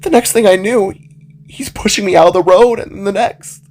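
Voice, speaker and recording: A man's voice: sits high, moderate pace, pitched above the performer's own voice. A man voicing a kind young female animal character in a dramatic story, hesitant and sad as she speaks. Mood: tearful regret. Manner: soft, hesitant, stammering, comforting.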